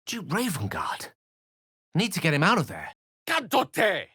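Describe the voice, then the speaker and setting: A man's voice: fairly high pitch, huge pitch swings, normal speed, bright, forceful tone, breathy edge, no room sound, studio quality, exaggerated voice. A man playing an eloquent, witty and self-assured wizard in a video game, with articulate, florid, wry delivery. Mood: urgent, then incanting. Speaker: game character voice actor. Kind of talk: theatrical video game character dialogue.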